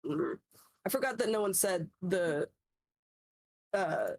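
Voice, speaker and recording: A woman's voice: fairly low pitch, animated, takes it slowly, darkish tone, no room sound. A woman in a casual voice-call chat who swears freely, teases and laughs a lot.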